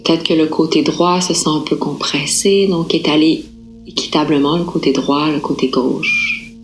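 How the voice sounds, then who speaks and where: Low-voiced woman guiding a calm relaxation. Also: soft background music, low-quality recording. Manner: calm, unhurried, with gentle pauses. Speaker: yoga nidra instructor. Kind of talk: guided relaxation meditation, slow and soothing instruction.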